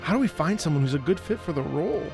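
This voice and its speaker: A man's voice: fairly high pitch, expressive, rapid-fire, over music. A man giving casual gameplay commentary as a streamer, with a wry, sarcastic way of talking. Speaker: streamer reacting to a game, former opera singer.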